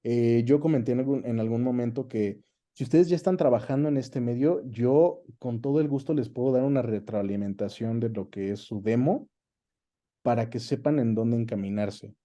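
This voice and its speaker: A man with a Mexican accent chatting casually as an interview guest, telling anecdotes in an expressive, storytelling way. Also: medium pitch, normal speed, muffled recording. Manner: animated anecdote-telling, acts out quoted dialogue. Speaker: guest, voice actor and dubbing director.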